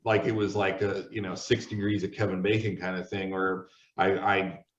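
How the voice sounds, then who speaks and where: Male discussion host, conversational, slightly deep, muffled recording with room echo. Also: flat delivery, moderate pace. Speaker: host, moderator. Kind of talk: casual moderated discussion. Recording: echoey room, distant mic, muffled.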